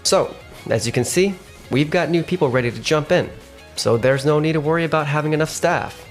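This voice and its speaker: A man playing a firm but polite sales manager in a manga dub, measured and businesslike. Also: a little high, soft background music, lightly acted. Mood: calm, resolute. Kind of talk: manga dub acting and narration.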